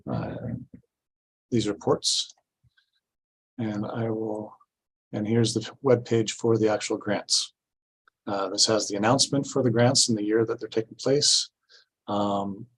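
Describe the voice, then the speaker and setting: A man giving an informational presentation at a public meeting, explaining things step by step in a halting way. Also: on the low side, poor audio. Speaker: city staff presenter at a grant orientation.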